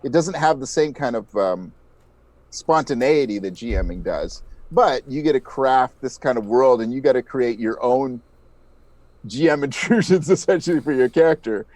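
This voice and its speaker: A man's voice: medium pitch, expressive, darkish tone, dry recording. A man giving earnest, thoughtful interview answers as a podcast guest, often restarting his sentences. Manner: earnest, thoughtful, halting with repeated starts. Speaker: podcast guest, audio drama creator. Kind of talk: casual reflective interview answers.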